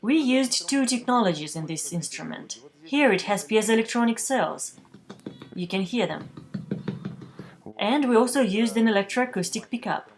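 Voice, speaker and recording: A woman reading a translated news voice-over at a measured, steady pace, recorded in a booth. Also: a little high, faint music behind. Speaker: voice-over interpreter for a news feature.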